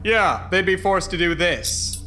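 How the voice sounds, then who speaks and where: A man's voice: sits high, expressive, measured pace, bright, forceful tone, soft background music, heavily acted. A man playing an earnest, by-the-book young military officer in an audio drama, formal and reasoning. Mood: stern, regretful.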